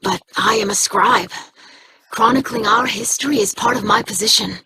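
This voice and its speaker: A woman's voice: fairly high pitch, normal speed, pushed and bright, a little hiss or hum, low-quality recording. A woman playing an earnest young female alien scribe in a video game, speaking formally and inquisitively.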